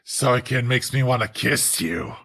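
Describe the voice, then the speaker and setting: A man voicing a cocky, crude cartoon cowboy with a teasing, drawn-out delivery in a fan parody dub. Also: mid-range voice, expressive, gravelly, fairly bright tone.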